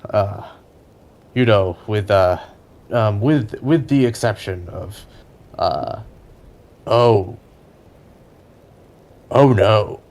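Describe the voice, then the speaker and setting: Jittery bearded adventurer, played by a male game master: low, muttering, halting delivery for a fantasy roleplay podcast. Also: darkish tone. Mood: panicky, halting. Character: McGuffrey, bearded man, jittery, muttering, panicky. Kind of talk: tabletop roleplay in an audio drama podcast.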